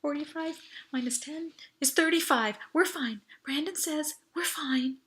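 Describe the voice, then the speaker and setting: Woman voicing an anxious, high-pitched girl. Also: measured pace, fairly bright tone. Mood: frightened murmur. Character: anxious, panicky girl stranded in a spacesuit. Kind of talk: audiobook character voice.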